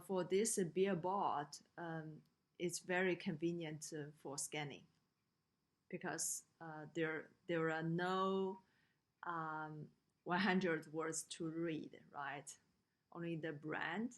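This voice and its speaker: A woman from China with a Chinese accent giving a slide lecture, speaking haltingly with frequent hesitations and checking in with short tag questions. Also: on the low side. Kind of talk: slide lecture on design usability.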